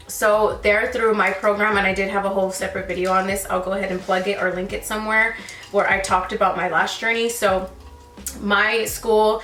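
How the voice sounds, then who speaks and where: A woman's voice: mid-range voice, fairly bright tone, roomy sound, recorded from a distance. A woman in her twenties chatting casually as she answers viewer questions about lash work, calling her viewers girl and sis. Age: 20s. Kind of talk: casual Q&A chat while sipping wine.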